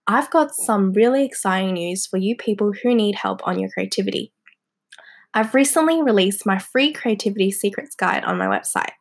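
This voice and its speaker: A young woman hosting a podcast in a casual, encouraging way, her voice hoarse and congested from a cold. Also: mid-range voice. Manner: chatty and encouraging, with fillers like "um" and "like". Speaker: podcast host. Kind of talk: casual solo podcast talk.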